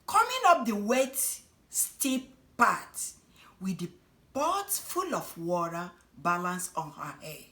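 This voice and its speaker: A woman telling a folk tale as a storyteller, in a dramatic, expressive manner. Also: fairly high pitch. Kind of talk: scripted folk tale narration for children.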